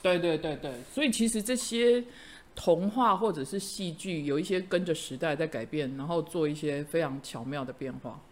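A middle-aged woman, a host and teacher, chatting casually and telling lively stories with frequent laughter. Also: low-pitched, normal speed. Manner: animated storyteller, laughs often. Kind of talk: casual chat.

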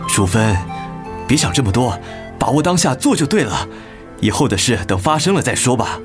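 An actor with a Taiwanese accent playing a middle-aged husband in a radio drama, earnest, gentle and apologetic as he tries to win back his wife. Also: mid-range voice, sing-song, normal speed, natural voice. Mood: earnest, encouraging. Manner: gentle, pleading. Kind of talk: Mandarin radio drama acting. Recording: music bed under the voice.

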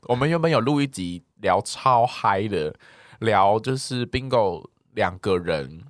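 Animated Taiwanese man, close dry sound. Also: lowish voice, unhurried, dry recording. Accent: Taiwanese Mandarin. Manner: talkative, expressive, mixes in English words. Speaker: podcast co-host. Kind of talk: casual chat podcast, reading listener replies.

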